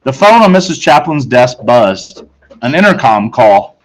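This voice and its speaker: A man who is a teacher, narrating a children's book aloud with expressive storytelling. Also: medium pitch, unhurried, poor audio.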